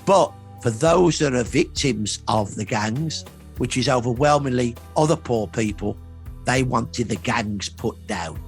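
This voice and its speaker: A man's voice: mid-range voice, a little slow, soft background music. A middle-aged or older man speaking as a historian in a documentary interview, explaining in emphatic, short repeated phrases.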